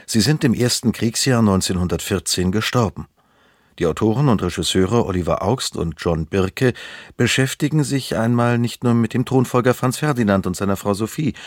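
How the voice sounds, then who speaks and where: Male radio announcer, low register, scripted introduction, dry studio sound. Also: dry recording.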